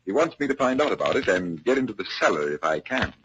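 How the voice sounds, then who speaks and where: An actor playing a confident magician detective who takes charge, in 1940s radio drama. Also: male voice, on the low side, very animated, fast talker, fairly bright tone. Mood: brisk, businesslike. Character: confident male magician detective, brisk leader. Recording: noisy vintage 1940s radio recording.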